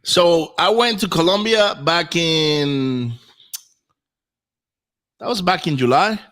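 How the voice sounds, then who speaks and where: A man's voice: on the high side, slow pace, fairly bright tone. A man from Venezuela whose first language is Spanish, chatting informally and telling personal stories as an interview guest. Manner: informal, says man and brother often. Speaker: interview guest, real estate investor. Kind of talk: casual personal storytelling.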